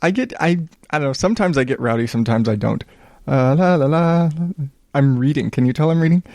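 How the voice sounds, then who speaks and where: A man hosting a solo podcast in a smooth voice, chatting casually in a low-key, rambling, self-deprecating way with some swearing. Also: lively intonation, quick pace, a little mellow, dry recording. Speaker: podcast host.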